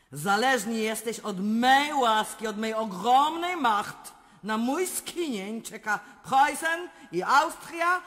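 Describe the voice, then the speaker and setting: Slow imperious German-accented empress. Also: female voice, medium pitch, fairly bright tone. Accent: German-accented, broken Polish. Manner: haughty, mixes in French and German phrases. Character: imperious, capricious mature German-born Russian empress. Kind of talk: theatrical radio drama acting. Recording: long hall-like reverb.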